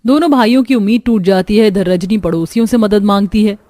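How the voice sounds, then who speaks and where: A woman's voice: medium pitch, a little fast, warm tone. A woman reading scripted storytelling narration for a cartoon.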